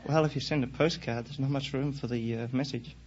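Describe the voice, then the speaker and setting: A man's voice: mid-range voice, fairly even intonation, fairly quick, darkish tone. Young man on muffled old radio. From Australia. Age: 20s. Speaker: quiz show contestant. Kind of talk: answering interview questions. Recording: muffled 1950s radio recording, faint noise.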